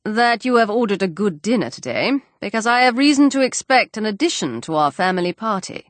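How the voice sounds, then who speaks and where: A wry middle-aged father from a storybook, voiced by a woman narrator. He speaks in a measured, deadpan way, sardonic and a little stern. The recording is close and dry. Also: medium pitch, dry recording, natural voice. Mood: measured, teasing.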